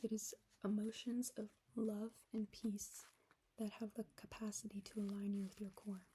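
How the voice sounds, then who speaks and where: A young adult woman with a breathy, whispery voice, reading her own reflective writing aloud to viewers in a subdued monotone. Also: mid-range voice, normal speed. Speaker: vlogger.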